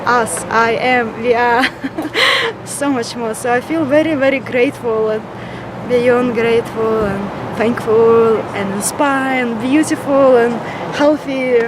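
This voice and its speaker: A woman with a Russian accent speaks effusively in a slightly high voice, often hunting for the right words. Loud outdoor noise surrounds her. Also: slow. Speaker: vendor at a festival. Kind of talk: casual on-camera introduction.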